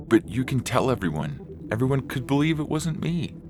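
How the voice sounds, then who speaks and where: A man's voice: medium pitch, very animated, moderate pace, background noise, natural voice. A man playing a condemned prisoner who questions and pleads earnestly in a dramatized science fiction story reading. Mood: pleading. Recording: music bed under the voice.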